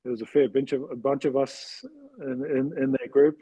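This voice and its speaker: A man in his forties with a husky voice, casually telling personal stories as an interview guest. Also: mid-range voice, fairly even intonation, normal speed, warm tone, no room sound, poor audio. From New Zealand, later Western Sydney, Australia. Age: 40s. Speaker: interview guest, former rugby league player.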